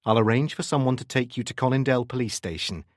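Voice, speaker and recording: A narrator voicing a firm, authoritative male police detective sergeant with a brisk, commanding manner. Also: medium pitch, no echo, clean studio sound, close to an everyday voice. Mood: measured.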